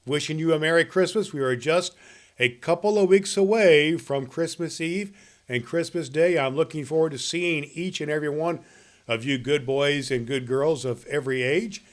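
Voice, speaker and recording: A man's voice: a little high, moderate pace, close to an everyday voice. A man playing a jolly, kindly old Santa Claus, hosting a festive podcast and reading storybook tales in a hearty, grandfatherly way. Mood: kindly cheerful. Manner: hearty, grandfatherly, addressing children warmly.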